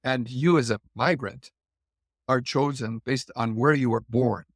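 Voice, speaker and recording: A man's voice: medium pitch, measured pace, dry recording. Explanatory man with fillers, dry sound. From Canada. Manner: thoughtful, explanatory, frequent fillers and restarts. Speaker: guest immigration commentator. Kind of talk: conversational discussion.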